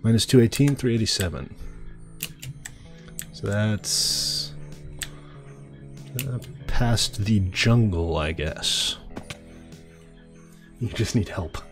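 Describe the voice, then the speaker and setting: Male streamer, low register, a bit stuffy, relaxed thinking-aloud chat, faint music behind. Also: takes it slowly, long pauses. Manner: easygoing, thinking aloud with fillers. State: slightly stuffy nose. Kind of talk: casual gameplay chat.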